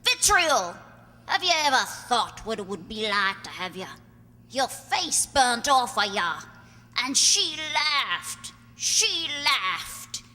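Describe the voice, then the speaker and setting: A woman's voice: fairly high pitch, big pitch swings, slow, pushed and bright, heavily acted. A woman playing a bitter, vengeful, scarred slum woman in an amateur radio play, coarse and taunting, in a reverberant hall. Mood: vengeful, anguished. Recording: reverberant hall, faint noise and hum.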